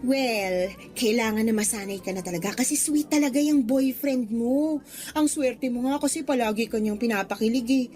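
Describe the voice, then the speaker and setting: A woman playing an excitable, admiring young student best friend in a radio drama, with a Filipino accent and a gushing, teasing way of talking. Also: on the high side, moderate pace, soft background music, natural voice. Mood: teasing, dreamy.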